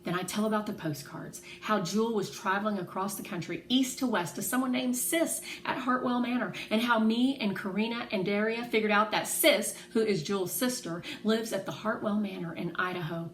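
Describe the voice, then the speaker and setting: A woman reading a children's novel aloud in an expressive, animated way, acting out the dialogue. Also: medium pitch, brisk, hardly any pauses. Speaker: read-aloud narrator. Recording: roomy echo, faint background noise.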